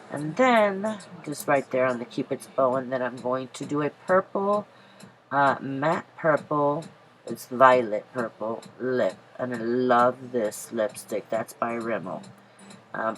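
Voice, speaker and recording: A woman with a very low voice, congested from a cold, narrates her makeup routine. She rambles casually, pausing on ums and restarting her sentences. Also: slight background noise, low-quality recording. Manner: chatty, rambling, many ums and self-corrections. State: stuffy from a cold. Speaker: beauty vlogger. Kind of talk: casual makeup tutorial voice-over.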